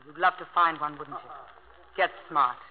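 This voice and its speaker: An actress playing a lonesome, proud, flirtatious wealthy woman in 1950s radio drama style, cool and sardonic with sharp comebacks. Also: low voice, moderate pace, lightly acted. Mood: hurt, sarcastic. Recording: crackly narrow-band 1950 radio broadcast.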